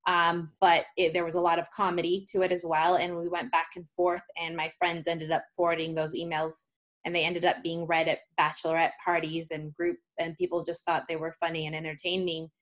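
A woman's voice: fairly low pitch, level tone, fairly quick, fairly bright tone. A woman in her thirties with an American accent, speaking as a guest in a casual interview, telling things in a chatty, informal way. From United States (Seattle area).

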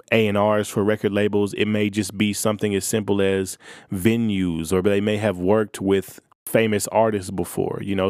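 A male podcast host giving casual, matter-of-fact advice in a monotone voice. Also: fairly low pitch, no room sound, clean studio sound.

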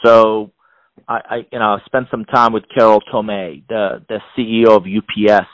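A man's voice: lowish voice, normal speed, no echo, muffled recording. Emphatic middle-aged man, dry sound. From Iran. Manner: animated, emphatic, with frequent restarts and repeated words. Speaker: executive advisor and author. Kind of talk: interview answers.

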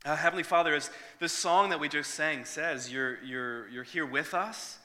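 Pastor preaching in a reverberant hall. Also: male voice, on the high side, normal speed, sharp tone. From Northern Ireland. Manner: earnest, conversational, with frequent restarts and fillers. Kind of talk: sermon with prayer and personal story.

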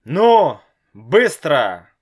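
A man voicing a nervous, hot-tempered father in a storybook reading, loud and exasperated. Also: a little high, sing-song, very slow, slightly rough, voice pushed up, full character voice. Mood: agitated.

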